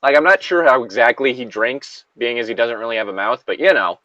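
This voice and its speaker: A man's voice: medium pitch, a little fast, sharp tone, no echo, lo-fi sound. A man chatting casually and reading aloud with friends, rambling and joking, swearing freely. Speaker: co-reader on a group creepypasta reading. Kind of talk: casual group chat and read-along banter.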